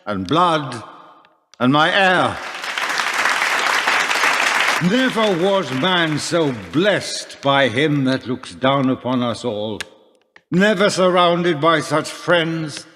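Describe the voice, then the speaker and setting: A man's voice: on the high side, lively intonation, slow, crowd noise, noisy background. An actor playing a proud, solemn medieval lord and father in a radio play, speaking in a grand, declamatory manner. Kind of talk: theatrical period radio drama in archaic English.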